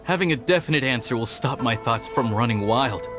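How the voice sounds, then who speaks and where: A fretful young retainer, played by a man who sounds worn out from sleepless nights. He sighs and hesitates, and his mid-pitched voice swings up and down a lot. Game music runs underneath. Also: animated, brisk, close to an everyday voice. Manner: sighing, hesitant. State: tired from lack of sleep. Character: anxious young male retainer, fretful and earnest. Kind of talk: video game cutscene dialogue.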